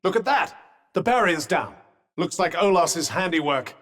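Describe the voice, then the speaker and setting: A man's voice: high voice, lively intonation, moderate pace, a bit bright. An actor voicing a sentient magical cuff in a video game, a dry, witty and protective male companion with a British accent and a formal, well-spoken, advising manner. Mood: urgent. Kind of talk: scripted game dialogue. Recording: long reverberant tail on voice.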